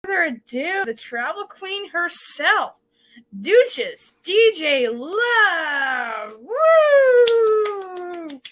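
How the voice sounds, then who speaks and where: Excited woman host, high and lively. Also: very slow, slight background noise. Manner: excited, cheering. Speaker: show host greeting a guest. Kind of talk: enthusiastic introduction.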